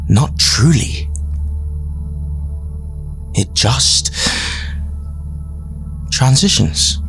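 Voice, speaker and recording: A man playing a solemn, persuasive leader giving a grandiose, measured speech with dramatic pauses in an audio drama. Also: medium pitch, expressive, vocal fry, a bit bright, soft background music, full character voice. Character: solemn, persuasive male leader of a mysterious afterlife program.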